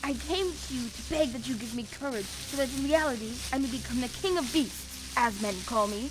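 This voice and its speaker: A woman's voice: sits high, a little fast, noisy background, close to an everyday voice. A woman playing a cowardly talking lion in an audio play, declaiming earnestly and swinging between boastful and fearful. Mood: pleading. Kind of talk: stage-style audio play acting.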